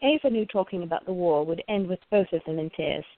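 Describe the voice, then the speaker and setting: A woman with a creaky voice reading scripted story narration for a radio play. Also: on the low side, fast talker, no room sound. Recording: narrow phone-like, dry sound.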